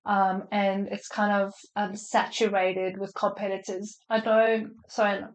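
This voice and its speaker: Woman, under the weather with a flu, loose chatty advice over a video call, mid-pitched. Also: little pitch movement, a little slow. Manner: chatty, loose, lots of fillers and restarts. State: slightly unwell with a flu. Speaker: marketing expert answering audience questions. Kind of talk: casual live Q&A on a call.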